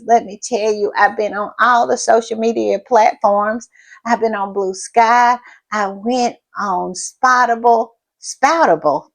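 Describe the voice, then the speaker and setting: A woman hosting her own channel in casual chat, her voice froggy and hoarse, sounding tired and repeating her thank-yous. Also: fairly high pitch. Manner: drawn-out, repetitive thank-yous and southern-style "y'all" asides. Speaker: channel host.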